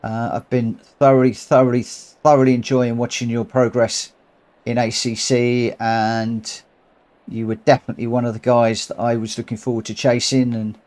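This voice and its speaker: A man's voice: mid-range voice, darkish tone. A man with a cold and a runny nose streaming a racing game, thinking aloud in rambling commentary and correcting himself as he goes. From United Kingdom.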